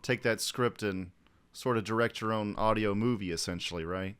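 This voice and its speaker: A man's voice: lowish voice, moderate pace, fairly bright tone, smooth. A man co-hosting a podcast in a casual, chatty interview style. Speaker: podcast co-host.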